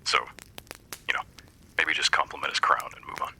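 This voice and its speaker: A man playing a wry, folksy post-apocalyptic radio host, delivering scripted show patter in a dry, reassuring announcer manner with a husky voice. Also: rumbling low, little pitch movement, a little fast, sharp tone, whispered, heavily acted. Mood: dry, amused.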